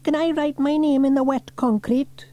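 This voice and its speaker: Storybook boy: small, mischievous, wheedling, high and soft-edged. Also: steady tone, normal speed. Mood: cheeky. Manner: cheeky, wheedling.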